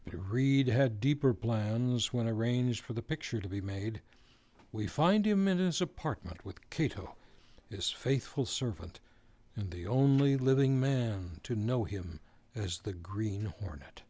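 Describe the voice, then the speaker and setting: A man narrating and announcing a community radio drama in a measured, formal way. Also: mid-range voice, lively intonation. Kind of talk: old-time radio narration. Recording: loud music behind. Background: music.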